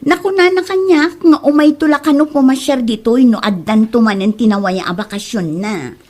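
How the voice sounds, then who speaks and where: A young Ilocano daughter played by a woman, slightly high in voice. She sounds weary and hesitant, drawing out her words in comedy-drama style. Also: normal speed, darkish tone, natural voice.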